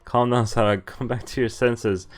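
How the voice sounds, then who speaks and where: A man's voice: mid-range voice, steady tone, normal speed. A man streaming a visual novel, reading its narration aloud and breaking off for wry comments, swearing and laughing.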